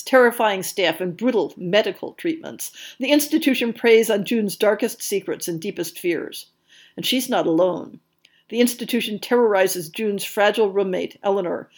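A woman presents a podcast from a prepared script. Her voice sits at a medium pitch for a woman. She reads in a measured, articulate way, with expression in the phrasing. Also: darkish tone. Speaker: podcast host. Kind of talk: scripted narration, reading book listings and blurbs.

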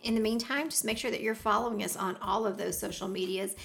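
A woman's voice: medium pitch, steady tone, fast, creaky, fairly bright tone, soft background music. A woman hosting a podcast in casual chat, chatty and laughing often. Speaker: podcast host.

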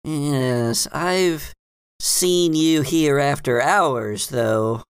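A man voicing a knowing, cautious female librarian in a tabletop game, halting and repeating herself as she searches for words. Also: fairly high pitch, smooth, dry recording, studio quality, voice pushed up. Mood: suspicious. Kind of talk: tabletop game character dialogue.

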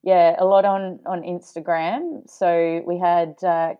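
A woman with a low, smooth, resonant voice co-hosts a podcast in a relaxed, chatty way, laughing easily. The sound is close and dry. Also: slow, no echo, muffled recording. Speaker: podcast co-host. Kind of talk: casual podcast chat.

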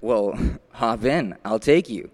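A man playing a brisk, insistent doctor in an old-time radio play, courteous on the surface with a quiet menace. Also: lowish voice, expressive. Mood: coolly courteous. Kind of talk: 1940s radio play acting. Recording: reverberant hall sound.

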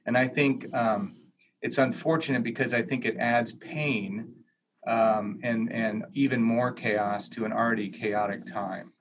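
A man talks thoughtfully as a pastor on a video call, measured and hesitant. Clear hiss and background noise sit under his voice the whole time. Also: mid-range voice, fairly even intonation. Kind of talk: online panel discussion. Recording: noisy video call audio.

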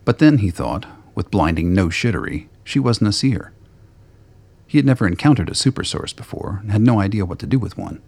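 A man with a gravelly, husky voice reading scripted narration for a radio play. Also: lowish voice, animated, a little fast, darkish tone, no room sound.

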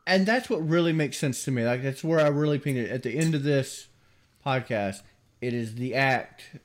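A man's voice: mid-range voice, normal speed. Man, southern US accent, podcast co-host, joking, casual chat. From American South. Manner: joking, laughs while talking.

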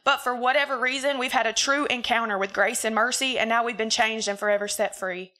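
Woman from Mississippi, thirties, spokeswoman, earnest delivery, bright tone, slightly high voice. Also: fairly even intonation, brisk. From Mississippi, USA. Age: 30s. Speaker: program spokeswoman. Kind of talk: introducing a testimony program.

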